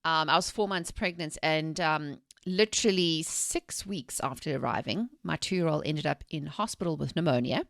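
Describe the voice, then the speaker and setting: Woman, South African accent, forties, low voice, dry sound, energetic storytelling. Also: normal speed, gravelly, few pauses, no room sound. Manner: energetic, rambling with restarts and laughs. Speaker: guest, mindset coach. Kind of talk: casual interview storytelling.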